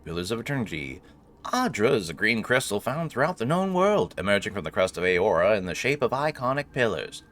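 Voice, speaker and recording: A man's voice: lowish voice, big pitch swings, a little fast, a bit bright. A man voicing a solemn, reverent narrator reading sacred lore from a fantasy game. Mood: reverent, grand. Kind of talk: scripted lore read-aloud.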